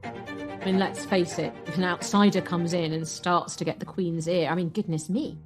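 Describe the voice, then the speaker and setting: A woman's voice: fairly low pitch, steady tone, normal speed. A woman giving conversational commentary as a documentary interviewee. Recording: music clearly behind the voice.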